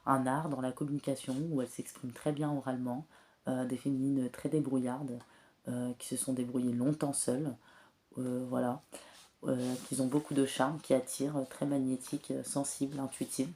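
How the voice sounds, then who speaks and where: A woman with a cold and a slightly hoarse voice, giving a spiritual card reading in a soft, hesitant way with many filler pauses. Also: very deep voice, a little hiss or hum. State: has a cold, clears throat often. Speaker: tarot and oracle card reader.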